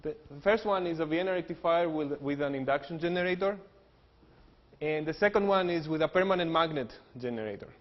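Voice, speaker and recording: Greek guy teaching engineering, a bit high voice, lots of uh's, dull echoey hall sound. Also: a little fast. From Greece. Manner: joking, explanatory, frequent uh fillers. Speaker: engineering professor. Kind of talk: technical lecture with jokes. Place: lecture hall. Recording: dull lo-fi hall recording with long reverb.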